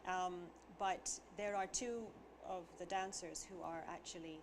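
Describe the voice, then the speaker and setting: Woman on a chat show explaining dance stuff, warm, noticeable hiss. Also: fairly low pitch, steady tone, unhurried. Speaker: guest. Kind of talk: studio talk show interview.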